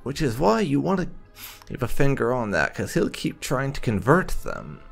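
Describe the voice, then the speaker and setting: A man's voice: mid-range voice, wildly swooping pitch, normal speed, gravelly, husky, faint music behind. A man hosting a let's-play, giving casual, musing commentary as he plays. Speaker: gaming channel host.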